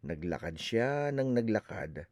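A man reading a children's story aloud with expressive, animated narration. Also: fairly low pitch, unhurried, dry recording, studio quality.

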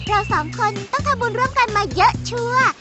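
A woman's voice: very high voice, a little fast. A woman voicing a cheeky, naive little schoolgirl in a children's cartoon, chirpy and sure of herself. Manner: chirpy, childlike, confidently explaining. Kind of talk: Thai children's cartoon voice acting. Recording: muffled audio under loud background music.

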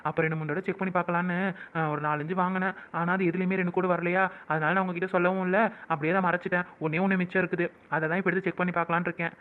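A man's voice: high-pitched, little pitch movement, very fast, natural voice. A man voicing an emotional young wife hoping she is pregnant, pleading and prayerful, in a home-made skit with a tinny phone-like sound. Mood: coaxing. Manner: pleading, prayerful, weepy with joy.

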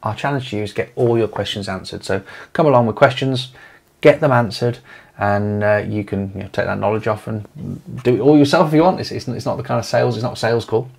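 A man talks to camera at his desk in a home office. His voice sits low and has a gravelly edge. He rambles easily, with lots of fillers and restarts. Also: quick pace. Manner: rambling, with many fillers and restarts, leafing through papers. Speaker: property investment company owner. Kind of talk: casual to-camera video talk.

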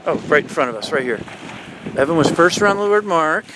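A man with a slightly high voice coaches a sailing team from a motorboat. He mixes calm explanation with shouted commands to the sailors. Wind noise roars across the camera mic. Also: fast talker. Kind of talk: live on-the-water coaching commentary. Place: outdoors on a motorboat on a lake.

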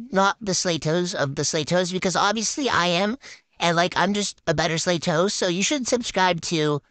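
A woman's voice: on the low side, fairly bright tone, no room sound. A woman voicing a bratty, ditzy female gymnast in a silly game roleplay, with a boastful valley-girl drawl, on a dry close mic. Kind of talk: improvised game roleplay voiceover.

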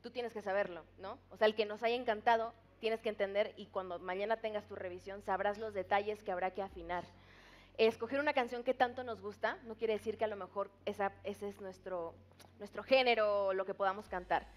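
A woman's voice: mid-range voice, steady tone, fairly quick. Woman, young, mid-range voice, earnest live critique. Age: 20s. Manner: earnest, explanatory. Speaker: contest judge. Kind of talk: live judging feedback. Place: judges' desk in TV studio. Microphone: headset microphone.

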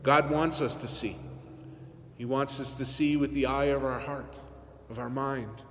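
A man in his forties, a priest, giving an earnest, measured homily to his congregation in a large church. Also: medium pitch, fairly even intonation, a bit bright, slight background noise. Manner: earnest, measured preaching with teasing asides. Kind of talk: sermon. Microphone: phone camera on the pulpit, aimed up. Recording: long church reverb.

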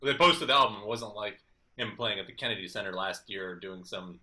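A man in his thirties hosting a casual interview over a video call from his kitchen, asking rambling, animated questions. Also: fairly low pitch, fairly quick. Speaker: host. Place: home kitchen. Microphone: video call webcam.

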